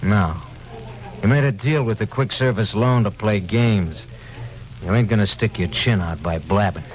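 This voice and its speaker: An actor plays a sneering American gangster in a vintage radio crime drama. His voice sits fairly low, and he talks in slangy threats, a tough swindler used to bullying people. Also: moderate pace, natural voice. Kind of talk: old-time radio detective drama acting.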